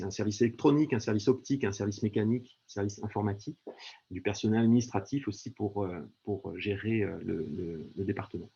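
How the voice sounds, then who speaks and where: A man's voice: medium pitch, warm tone, husky. A man presenting an astronomy department in an online information session, speaking hesitantly with many fillers and repeated words over a video call. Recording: dull lo-fi video-call audio.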